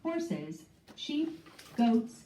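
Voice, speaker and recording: A woman's voice: a little high, sing-song, extremely slow, mellow. A woman narrator carefully reading a scripted educational text for children. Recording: muffled playback in echoey room, distant.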